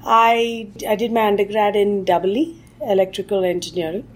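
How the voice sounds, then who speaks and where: A woman from India, a technology executive answering interview questions thoughtfully and often pausing on "uh". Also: medium pitch. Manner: thoughtful, with frequent "uh" fillers.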